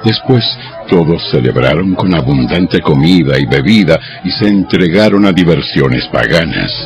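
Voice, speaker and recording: A man's voice: extremely low pitch, expressive, normal speed, music in the background. A man delivering dramatized scripted Bible narration as the narrator.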